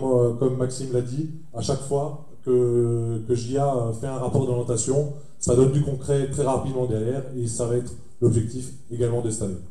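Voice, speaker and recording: A man from Alsace with an Alsatian accent, speaking as rapporteur at a farmers' assembly, halting with fillers and repeats. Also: medium pitch, warm tone, roomy sound. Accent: Alsatian French. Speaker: union report rapporteur. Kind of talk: public speech at a general assembly.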